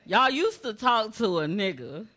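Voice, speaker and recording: A woman's voice: medium pitch, sing-song, measured pace, sharp tone. A woman co-hosting a podcast, throwing teasing interjections into casual banter. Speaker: podcast co-host. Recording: clear background noise.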